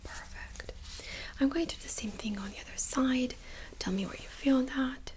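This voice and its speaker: A woman whispers in a breathy, fairly high voice. She plays a doctor in an ASMR exam, explaining things gently in clinical terms. Her manner is reassuring and unhurried. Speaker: ASMR performer role-playing a doctor. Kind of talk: soft-spoken ASMR medical exam role-play.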